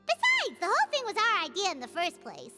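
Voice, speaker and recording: A tiny floating fairy girl with a squeaky, childlike voice. She is bubbly and animated, pitched extremely high, with game music playing loudly behind her. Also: fairly quick, heavily acted. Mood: upbeat, helpful. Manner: bubbly, third-person self-reference. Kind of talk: anime game voice acting.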